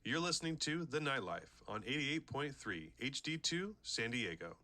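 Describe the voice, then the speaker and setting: A man's voice: medium pitch, sing-song, normal speed, pushed and bright. A young man hosting a podcast with scripted radio introductions. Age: young adult. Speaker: podcast host.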